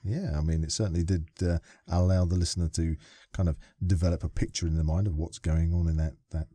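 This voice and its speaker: A male podcast host leads a casual interview, pausing often and hesitating as he asks questions. His voice is low and mellow. The studio sound is dry. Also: steady tone, slightly rough, dry recording. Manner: hesitant, many ums and uhs.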